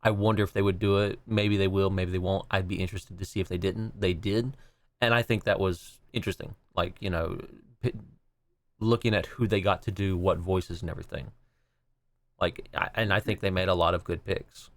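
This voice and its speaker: A man's voice: low voice, fairly even intonation, brisk, no room sound. A man chatting casually as a podcast co-host, giving his opinions in an easygoing, agreeable way.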